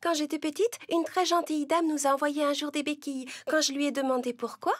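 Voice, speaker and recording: A woman's voice: high-pitched, steady tone, fast, no echo, clean studio sound, pitched above the performer's own voice, close to an everyday voice. A woman voicing a cheerful little orphan girl in a children's storybook, earnest and sentimental. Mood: bright, earnest. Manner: earnest, emotional.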